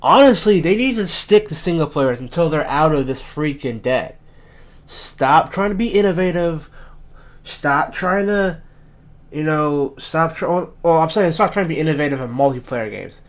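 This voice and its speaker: A young man with a raspy, just-woken-up voice, talking bluntly and swearing as he rants in a casual solo commentary. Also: on the high side, lively intonation, normal speed. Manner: blunt, ranting, swears often. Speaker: gaming commentator.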